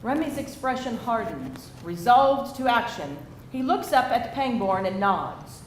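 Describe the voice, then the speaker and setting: A woman reads scripted screenplay directions in a fairly high voice. She is narrating live, and the room is echoey with faint noise behind her. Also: animated, a little slow. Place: live indoor table read.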